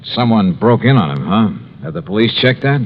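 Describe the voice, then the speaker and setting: An actor playing a shrewd, brisk insurance investigator in 1950s radio detective drama style, probing, clipped and wry. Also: male voice, fairly low pitch, big pitch swings. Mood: probing, thoughtful. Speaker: lead actor and narrator. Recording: muffled, noisy old radio recording.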